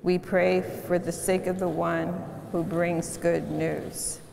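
An older woman speaks slowly and deliberately from the front of a big sanctuary, her words trailing into a long church reverb. Her tone is measured and solemn as she leads the congregation in prayer. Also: on the low side, flat delivery, gravelly, background noise. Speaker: worship leader. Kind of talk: church announcements and liturgy.

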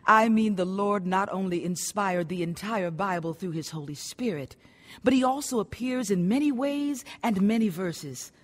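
An actress playing a devout, authoritative Bible study teacher, solemn and instructive, in a radio drama. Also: female voice, medium pitch, lively intonation, moderate pace, in a large hall, lightly acted.

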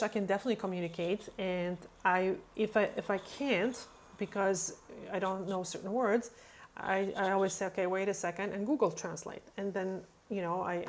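A woman's voice: mid-range voice, a little hiss or hum. A woman in her thirties, a nail technician whose first language is not English, talking casually into a webcam and acting out chats with clients, with many fillers. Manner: chatty, acts out client dialogue, many fillers. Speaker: nail technician answering questions. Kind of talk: casual explanatory talk. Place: indoor room, webcam over screen.

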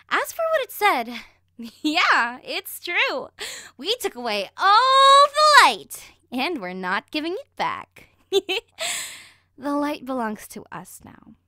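High-pitched, gleefully cruel goddess villainess. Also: female voice, sharp tone, dry recording, clean studio sound, full character voice. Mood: mocking, venomous. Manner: theatrical, mocking, giggly, swinging from sweet to venomous. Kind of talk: villain monologue in an audio horror series.

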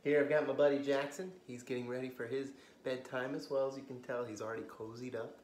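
An American man in his thirties hosting a children's story time, reading aloud expressively with gentle asides to young listeners. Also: mid-range voice, flat delivery, quick pace, slight background noise. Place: living room, on a couch indoors. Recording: roomy, echoey home recording.

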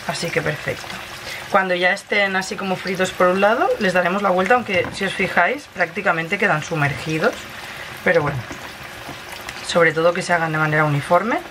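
A woman with an accent from Spain gives a casual, chatty cooking tutorial, explaining each step informally as host. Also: on the low side. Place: home kitchen, indoors. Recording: kitchen noise, frying and stirring sounds.

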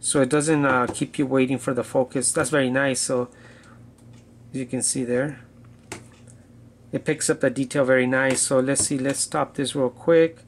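Casual male gadget reviewer, even pitch. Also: moderate pace, a little hiss or hum. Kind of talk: casual unscripted product demo.

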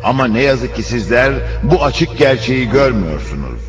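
A man's voice: medium pitch, big pitch swings, moderate pace. A man narrating a religious cartoon in a solemn, declamatory way, reciting quoted speeches. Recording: muffled audio under prominent music.

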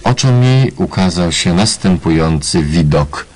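A man's voice: deep voice, lively intonation, measured pace, background noise. A man narrating a radio play parody in a mock-solemn, ironic way. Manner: mock-solemn, tongue-in-cheek.

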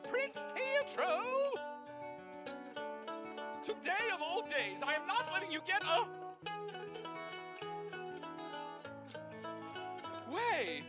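Shrill, fussy royal chancellor. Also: female voice, huge pitch swings, slow pace, heavily acted. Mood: calling, exasperated. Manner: pompous, exasperated, scolding. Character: fussy, shrill, bossy royal chancellor of fairy-tale castle. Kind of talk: English video game voice acting. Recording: game music loudly behind the voice.

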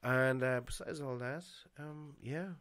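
A man in his thirties chats loosely as host of a home podcast, close on a studio mic in a dry little room. He curses freely and laughs between phrases, with a voice of middling pitch. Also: slow pace, no room sound. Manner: swears often, laughs a lot, animated hand gestures. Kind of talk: casual podcast chat. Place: small home studio with foam panels. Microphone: headphones and studio mic on boom arm.

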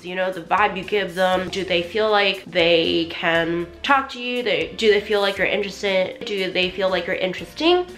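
A woman in her twenties talking to camera in a bedroom, animated and chatty with lots of fillers, her voice half gone. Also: medium pitch. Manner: animated, chatty, gestures a lot, many "like" fillers. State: losing her voice. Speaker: vlogger. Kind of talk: casual talk to camera. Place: small bedroom indoors.

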